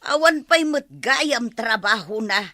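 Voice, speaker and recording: A woman's voice: a little high, expressive, takes it slowly, sharp tone, dry recording, studio quality, heavily acted. A woman playing a blunt, nagging mother-in-law who disapproves of idlers in an Ilocano comedy drama. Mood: critical.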